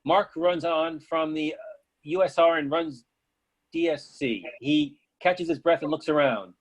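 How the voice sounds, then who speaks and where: A man's voice: on the high side, a little slow, rough recording. A man giving scripted narration as the reader of a play's stage directions.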